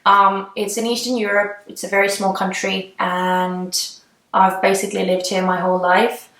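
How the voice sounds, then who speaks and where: A young woman from Estonia, a native Estonian speaker, talks through a casual question-and-answer vlog. Her voice sits at an ordinary middle pitch, and she gushes about her favourites with many fillers and sentences that trail off. The sound carries a noticeable echo from the room. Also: level tone, normal speed, a bit bright. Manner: chatty, gushing, many fillers and trailing clauses. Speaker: beauty vlogger. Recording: echoey room.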